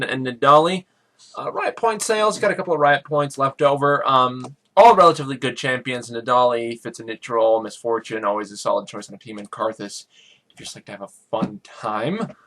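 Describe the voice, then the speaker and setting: A man's voice: mid-range voice, fairly quick, a bit bright. A man co-hosting a gaming podcast in casual chat, blunt and joking with dismissive asides. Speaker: podcast co-host.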